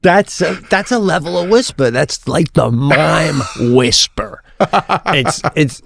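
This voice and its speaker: Gravelly, lively-voiced man riffing on a podcast. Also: fairly high pitch, takes it slowly. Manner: animated storytelling, lots of restarts, swears freely. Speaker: podcast co-host. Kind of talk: casual comedic chat.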